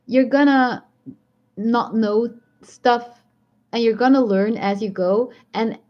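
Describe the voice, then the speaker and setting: A woman's voice: fairly high pitch, steady tone, takes it slowly. A woman with a strong Belgian accent chatting casually on a live stream, rambling and laughing often as she answers viewer comments. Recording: dull lo-fi stream audio.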